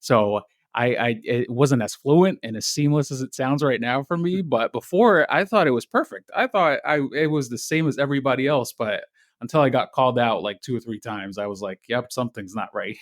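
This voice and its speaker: A young man from Egypt, a guest on a podcast, speaking in a soft and friendly way with many ums. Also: mid-range voice, a little fast, no room sound, studio quality. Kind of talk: casual interview.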